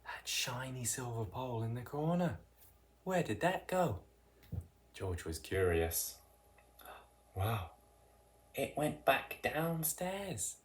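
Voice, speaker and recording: A narrator reading a children's picture book aloud in an expressive, deliberate way with dramatic emphasis. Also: mid-range voice. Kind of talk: read-aloud children's storytelling.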